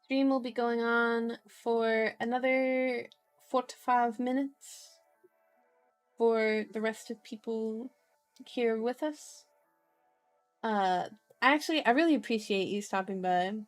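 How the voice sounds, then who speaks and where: Woman, high-pitched, silly and casual ASMR book reader and streamer, background music. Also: steady tone, slow pace. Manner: playful, silly asides and baby-talk. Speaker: streamer reading a book aloud. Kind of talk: ASMR read-aloud with casual chat.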